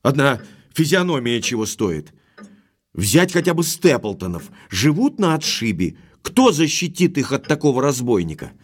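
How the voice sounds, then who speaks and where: A frank, indignant gentleman of title in an audio drama. His middle-pitched voice moves in lively swings as he argues bluntly, like the master of the house. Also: normal speed, husky. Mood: reproachful, firm. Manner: blunt, argumentative, expressive.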